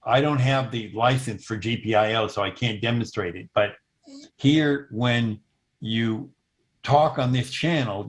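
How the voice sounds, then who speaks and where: A man in his seventies giving an explanatory technical demo over a video call, often pausing mid-sentence as he goes. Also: mid-range voice. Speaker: presenter demonstrating intercom software. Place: indoor room at home, on a video call.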